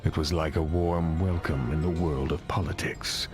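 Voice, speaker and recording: Genial elder politician, low voice, measured and formal, game cutscene with music behind. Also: male voice, fairly even intonation. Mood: grave, reflective. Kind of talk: video game cutscene acting. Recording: music under the voice.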